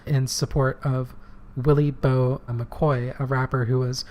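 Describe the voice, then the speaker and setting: Slightly hoarse man, podcast co-host. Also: mid-range voice, little pitch movement, slow, a little mellow. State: slightly hoarse from shouting at a protest. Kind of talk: casual political podcast talk.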